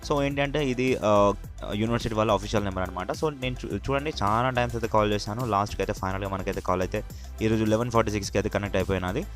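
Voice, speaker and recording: A man's voice: lowish voice, racing. A young man with a Telugu accent giving an informal update to students as a channel host, in a repetitive, urging manner. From India. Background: music.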